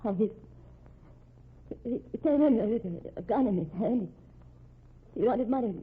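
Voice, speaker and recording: An actress playing an anxious married young woman in an old radio crime drama, halting, breathy and pleading. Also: fairly high pitch, animated, exaggerated voice. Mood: dazed, shaken. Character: anxious married young woman protecting her fugitive brother. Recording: muffled narrow-band vintage radio recording.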